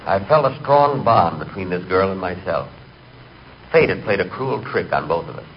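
A man's voice: deep voice, lively intonation, normal speed. An actor playing a hapless, melancholy man who narrates his humiliations in a 1940s radio comedy. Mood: wistful. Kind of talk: comic first-person narration, 1940s radio. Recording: muffled, noisy 1940s radio recording.

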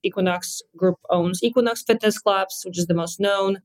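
A woman's voice: mid-range voice, steady tone. Woman, Polish accent, thirties, podcast guest, upbeat, candid. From Poland. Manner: upbeat, quick and candid with light laughs. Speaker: guest, fitness company executive. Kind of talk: podcast interview.

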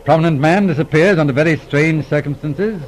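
An actor playing an astute, businesslike police inspector in a 1940s radio drama, composed and probing in manner. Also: male voice, fairly high pitch, a little fast, lightly acted. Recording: noisy old radio recording.

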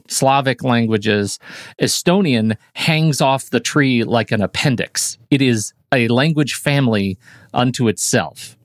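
A man co-hosting a podcast in casual chat, talkative and quick to laugh at his own slips. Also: medium pitch, no room sound, studio quality. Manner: chatty, self-correcting, laughs easily. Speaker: podcast co-host.

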